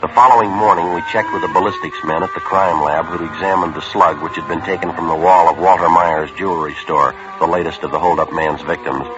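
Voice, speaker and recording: An actor playing a terse police sergeant who narrates in a flat, clipped, deadpan manner, in 1950s radio drama style. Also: male voice, sits low, fairly quick, few pauses, lightly acted. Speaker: detective narrator. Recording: muffled old radio broadcast.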